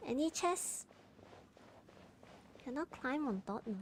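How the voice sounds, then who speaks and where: Malaysian girl streamer, high voice, chatting casually while gaming, bit of bg noise. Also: animated, takes it slowly, poor audio. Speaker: game livestreamer.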